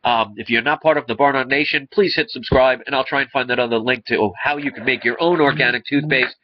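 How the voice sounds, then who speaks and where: A man's voice: medium pitch, quick pace. A man in his thirties with a stuffy, congested nose, talking earnestly to a webcam in his living room. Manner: earnest, rambling with restarts. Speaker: vlogger. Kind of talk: casual talk to camera. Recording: slightly dull lo-fi webcam audio.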